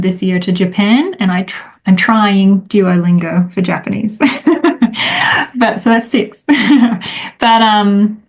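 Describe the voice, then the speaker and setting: A woman's voice: on the low side, gravelly. A middle-aged woman, a time management coach, chatting casually as an interview guest, rambling with many fillers.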